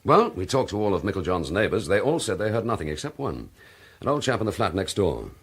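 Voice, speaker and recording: An actor playing a determined, dryly courteous British police detective in a radio drama, husky and clipped. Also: male voice, low voice, big pitch swings, fast talker, fairly bright tone, heavily acted. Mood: musing.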